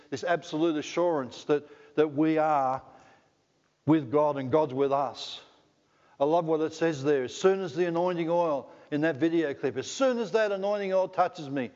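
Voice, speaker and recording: A man's voice: on the high side, darkish tone. Australian man, middle-aged, chatty joking preacher, dull lo-fi sound, long hall echo. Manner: conversational, joking, asks the congregation questions. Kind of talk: sermon. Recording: dull lo-fi recording in a reverberant hall.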